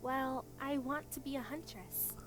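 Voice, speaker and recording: A woman's voice: sits high, measured pace, poor audio. A woman voicing an eager, bubbly teenage girl huntress-in-training who gushes and rambles in a cartoon fan dub. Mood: eager, rambling. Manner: gushing, rambling, excitable. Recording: fan dub playback with music behind. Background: background music.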